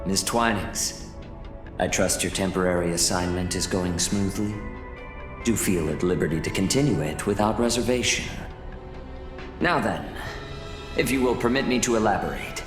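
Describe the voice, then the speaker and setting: Man acting a deep, smugly courteous corporate manager. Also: close to an everyday voice. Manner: formal, verbose, genteel, measured. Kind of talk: video game cutscene voice acting, expository. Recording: roomy echo, music underneath.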